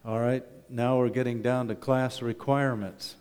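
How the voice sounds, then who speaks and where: A man's voice: medium pitch, steady tone, moderate pace, darkish tone, clean tone. A middle-aged or older man gives a humorous, conversational talk to a church congregation, often posing rhetorical questions. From Canada. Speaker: wilderness school principal giving a presentation at church. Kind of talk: folksy public talk with slides. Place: church hall, talking to a congregation. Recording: echoey hall with long reverb.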